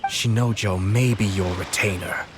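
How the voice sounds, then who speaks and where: An actor playing a poised young noble commissioner in a game, speaking in a measured, formal and composed way. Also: male voice, lowish voice, fairly even intonation, slight background noise, natural voice. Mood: accusing. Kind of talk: game voice acting.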